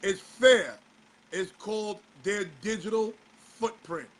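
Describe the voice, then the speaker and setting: High-voiced hoarse male show host. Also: takes it slowly, slight background noise. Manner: fires rhetorical questions, exasperated. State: slightly hoarse. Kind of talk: casual talk-show commentary.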